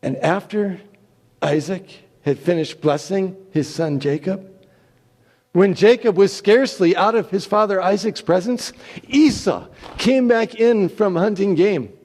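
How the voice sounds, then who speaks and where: Guy reading bible story dramatically, slow n husky, kinda high voice, lots of reverb. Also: a little mellow. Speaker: scripture reader, narrator. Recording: reverberant church hall.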